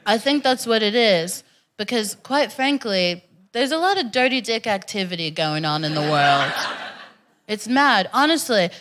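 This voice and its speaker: A woman's voice: lowish voice, a bit bright. A woman hosting a stand-up comedy night, frank and crude in a chatty way as she hypes up the crowd. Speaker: host. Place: comedy club stage before a live audience.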